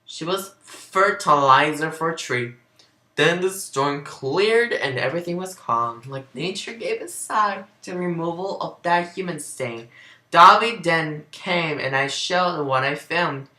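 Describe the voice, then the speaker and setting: Slow-paced man, bright voice in an echoey room. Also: on the high side, expressive. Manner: sarcastic, sweary, often breaking into laughter. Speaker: amateur reader of fan fiction. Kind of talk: dramatic reading with mocking asides. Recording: roomy, echoey home recording.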